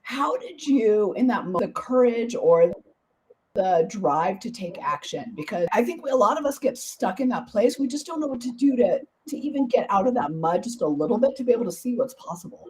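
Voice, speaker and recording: A woman hosting a podcast interview, her voice hoarse and partly gone, talking in a bubbly, enthusiastic, chatty way. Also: a little high, expressive, fairly quick, a little mellow, slight background noise, low-quality recording. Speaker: podcast host.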